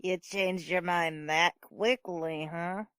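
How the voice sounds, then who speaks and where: A woman's voice: on the low side, steady tone, slow pace, a bit bright, clean tone, no echo, studio quality. A woman playing a nosy, teasing older neighbour in an amateur audio drama, casual and insinuating.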